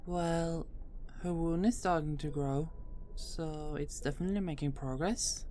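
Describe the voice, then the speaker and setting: A woman playing a patient, reassuring medical caregiver in an audio roleplay, speaking in a gentle, measured way. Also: sits low, fairly even intonation, slight background noise.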